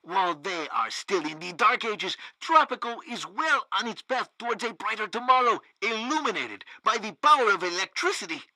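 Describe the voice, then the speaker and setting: Bombastic, bright-voiced propaganda radio host. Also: male voice, high voice, sing-song, moderate pace, few pauses, no room sound, full character voice, poor audio. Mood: proud. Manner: theatrical, bombastic. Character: pompous, fanatically loyal male propaganda radio host. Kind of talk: satirical radio news broadcast.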